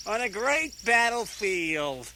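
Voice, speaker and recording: A man playing a doddering, forgetful Abraham Lincoln in comic radio theater, giving a halting, flustered speech full of throat-clearing. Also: high-pitched, sing-song, pushed and bright, a little hiss or hum, heavily acted. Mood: earnest oratory.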